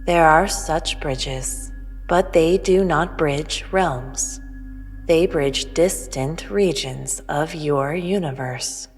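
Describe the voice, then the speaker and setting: Woman as an otherworldly, low, slow, all-knowing female entity. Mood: cool, dismissive. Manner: measured, formal, pronouncing. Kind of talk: sci-fi audio drama. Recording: long reverb over music.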